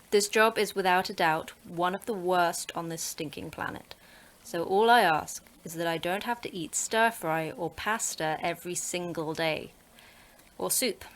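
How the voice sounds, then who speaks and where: A woman plays a terse manager of a secret facility, with a blunt, dry, sarcastic way of talking. Her voice sits slightly low, in a sci-fi horror audio drama. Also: close to an everyday voice.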